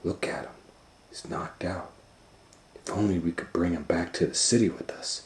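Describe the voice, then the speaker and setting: A man voicing a greedy, excitable male mouse professor from a storybook, scheming and full of exclamation. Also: deep voice, normal speed, a little hiss or hum, natural voice. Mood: awed, scheming. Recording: roomy, echoing sound.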